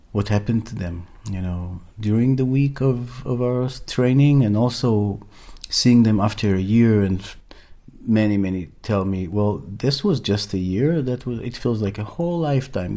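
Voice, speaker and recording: A man's voice: fairly low pitch, dark tone. A middle-aged man from Israel, a workshop facilitator, speaking earnestly in an interview and searching for words as he goes. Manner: earnest, searching for words, many fillers and restarts. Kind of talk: interview conversation.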